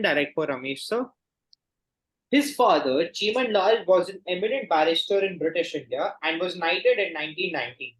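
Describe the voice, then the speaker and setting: Higher-pitched man reading quiz questions. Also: poor audio. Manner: formal, measured question reading with set confirming phrases. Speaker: quizmaster.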